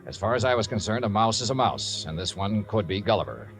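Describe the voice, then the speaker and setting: A man's voice: on the low side, animated, fast talker, music in the background. An actor playing a wry male investigator narrating a 1950s radio drama, dry in manner. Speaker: narrating detective.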